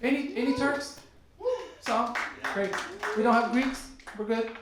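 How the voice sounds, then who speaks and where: A man in his forties from Turkey with a Turkish accent, doing stand-up comedy and chatting with the crowd in a lively, teasing way. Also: very high-pitched, unhurried. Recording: very echoey, noisy room.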